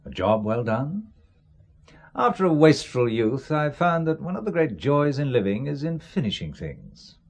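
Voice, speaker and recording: A wry, stubborn businessman caught up in a spy thriller. His voice is husky and mid-pitched, swinging in tone as he delivers dry, sardonic lines in radio drama style. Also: very animated, moderate pace. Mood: resigned, reflective.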